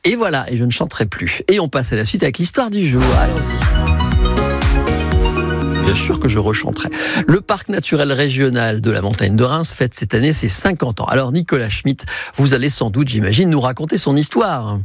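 A man presents a live morning radio show. His voice sits at a medium pitch with a husky grain, recorded dry in the studio as he links between segments. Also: expressive, normal speed, no room sound, soft background music.

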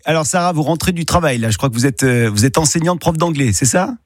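A man's voice: fairly high pitch, animated, fast, no echo, clean studio sound. A man hosting a local radio call-in quiz, chatty and teasing, slipping into English jokes. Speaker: radio host. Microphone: studio microphone.

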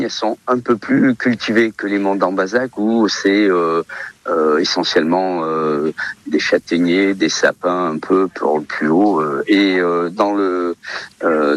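A man's voice: sits low, dry recording. A man in his fifties from Portugal, a radio interview guest who talks casually with many hesitations and fillers. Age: 50s. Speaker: interview guest, olive oil seller.

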